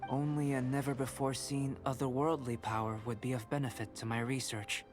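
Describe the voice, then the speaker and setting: A polite young alchemist scholar in a video game, voiced by a man, calm and methodical. He weighs every word in a measured, courteous way, at an ordinary male pitch. Game music plays clearly behind him. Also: lightly acted.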